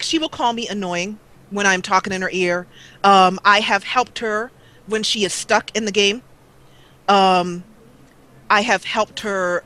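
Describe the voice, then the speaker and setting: A woman's voice: mid-range voice, bright, forceful tone. A young woman, a guest on an interview show, chatting casually with lots of fillers and restarts. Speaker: guest, let's player.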